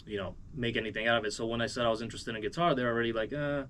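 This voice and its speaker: A man's voice: mid-range voice, very fast, a bit bright, a little hiss or hum. A man with an American accent giving a casual, rambling interview as a musician. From moved as a small child from Uzbekistan, raised in Cleveland, USA.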